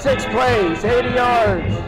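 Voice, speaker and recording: A man's voice: very high-pitched, slow, loud music behind the voice, low-quality recording. A man calling American football play-by-play in short clipped phrases, repeating himself for emphasis. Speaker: play-by-play announcer.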